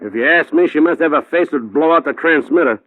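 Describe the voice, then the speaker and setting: A man's voice: on the high side, quick pace, no echo, close to an everyday voice. A man playing a grumbling, wisecracking police lab technician with an American accent, sardonic and casual, in a 1950s radio detective drama. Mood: grumbling, reluctant. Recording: thin, phone-like old radio recording.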